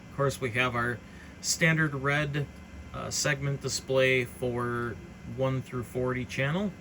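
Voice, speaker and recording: A man's voice: mid-range voice, fairly even intonation, slow. A man giving an informal, unscripted product walkthrough as a hobbyist presenter, explaining features in a hesitant way. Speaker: hobbyist presenter demonstrating radio gear. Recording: clearly noisy background.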